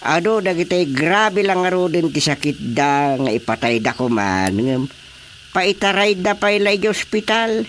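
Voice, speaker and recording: A man's voice: sits high, unhurried, a bit bright, slight background noise. A man playing a grumbling, world-weary elderly husband with an Ilocano accent in a comic radio drama, drawling and exclamatory.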